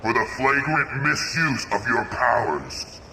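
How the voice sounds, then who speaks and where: An actor playing a stern ancient ruler pronouncing judgement in a video game, solemn and declamatory. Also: male voice, medium pitch, very animated, slow pace, gravelly, bright, forceful tone, faint music behind, full character voice. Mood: solemn, accusing. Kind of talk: video game cutscene acting. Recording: echoing voice with faint background noise.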